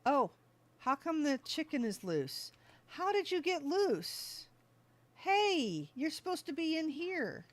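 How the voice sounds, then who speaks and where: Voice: high voice, sing-song, takes it slowly. Casual gamer chatting through play. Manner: talks to game animals and self. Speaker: gaming streamer. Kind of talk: casual gameplay commentary.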